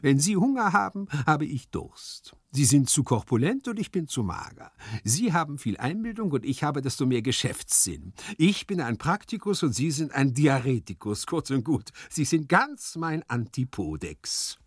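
A man's voice: on the high side, sing-song, normal speed, hardly any pauses, slightly rough, dry recording, clean studio sound, pitched above the performer's own voice, heavily acted. A man voicing a scrawny, know-it-all but good-natured manservant in a storybook, pert and contradicting. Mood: insistent. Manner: pert, contradicting, mangles learned words.

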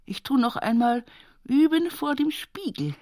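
An actress playing an earthy rural daughter reminiscing at her mother's sickbed in a radio play monologue, intimate and halting, with an Upper Palatinate Bavarian accent. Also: sits high, expressive, dark tone, no room sound, studio quality. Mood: animated, teasing.

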